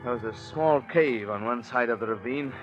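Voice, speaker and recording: A male actor as a glib impostor and murderer in 1940s radio suspense, with a medium-pitched voice. He confides like a chatty storyteller with cold calculation underneath. The old recording is muffled, with music clearly behind him. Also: moderate pace, natural voice. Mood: tense, confessional. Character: smooth-talking impostor and cold-blooded murderer narrating his crime. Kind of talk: 1940s radio suspense acting, confessional narration.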